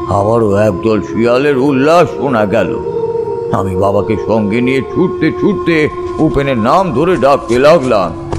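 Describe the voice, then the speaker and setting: A man narrating a scripted ghost story in a suspenseful, expressive, dramatic manner. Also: medium pitch, measured pace, music in the background.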